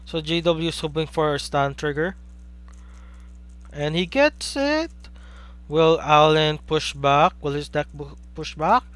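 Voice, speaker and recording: A young man from the Philippines with a Filipino accent, giving live play-by-play commentary on a card game stream, excitedly asking rhetorical questions and chatting with viewers. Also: on the high side, slow, clear, resonant tone.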